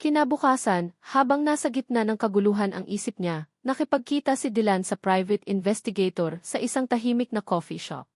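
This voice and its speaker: A woman narrator reading a scripted romantic drama in a measured, melodramatic storytelling manner. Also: medium pitch, animated, dry recording, clean studio sound.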